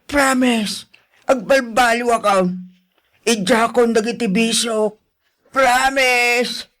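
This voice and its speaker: An actor with an Ilocano accent playing a feckless, evasive gambling husband in a radio drama, mumbling and stammering through groans. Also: male voice, very high voice, fairly even intonation, takes it slowly, fairly bright tone, exaggerated voice. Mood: pleading, placating.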